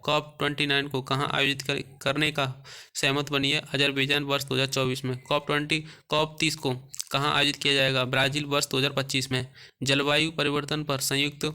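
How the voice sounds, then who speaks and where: A man's voice: medium pitch, sharp tone, clear, resonant tone. A man teaching an exam class, reading out quiz questions followed by short answers and repeating key terms.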